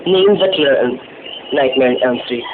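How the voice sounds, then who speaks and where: A man's voice: medium pitch, moderate pace, natural voice. A teenage boy with a Filipino accent acting as a menacing, teasing killer on the phone in an amateur horror drama. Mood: taunting. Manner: taunting, playful, code-switching between Tagalog and English. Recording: tinny, noisy phone-like lo-fi audio.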